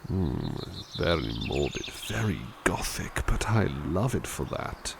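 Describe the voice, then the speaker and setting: A man's voice: low-pitched, big pitch swings, slow pace, gravelly, background noise, full character voice. A man playing a kind, courteous butler who reads poems aloud and muses on them in a formal, polite way for an ASMR roleplay. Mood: musing. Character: kind, courteous male butler reading to listener.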